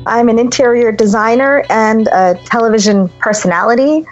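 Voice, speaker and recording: A woman's voice: a little high, normal speed, lo-fi sound, faint music behind. A woman in her forties from Boston, chatting candidly as a podcast guest, laughing often and acting out bits of dialogue. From Boston, USA. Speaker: interview guest, interior designer. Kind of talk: casual candid chat.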